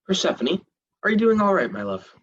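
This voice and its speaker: A man playing a husky, flirty god of the dead. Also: sits high, sing-song, fairly quick, a bit bright, dull audio, exaggerated voice. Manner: smooth, flirty, joking. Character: lovestruck, laid-back male god of the dead.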